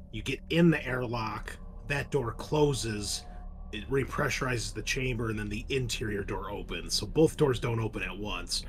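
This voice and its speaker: A man's voice: mid-range voice, a little hiss or hum. A man hosting as game master, chatting casually and explaining the scene to players and listeners in a loose, conversational way.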